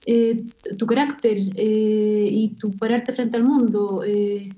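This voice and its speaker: A woman from Chile with a Chilean Spanish accent, an illustrator speaking thoughtfully as an interview guest and pausing often with fillers. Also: medium pitch, level tone, dark tone, highs cut off. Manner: thoughtful, hesitant with many fillers. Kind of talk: casual interview conversation.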